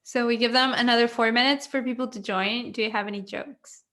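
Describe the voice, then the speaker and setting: A woman from Mexico speaks with a Mexican accent, chatting casually on a live video call. She is giggly and talkative, with a voice that sits a little high. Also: steady tone, brisk, bright, forceful tone. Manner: giggly, chatty, laughs often. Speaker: co-host. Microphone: computer mic on a video call.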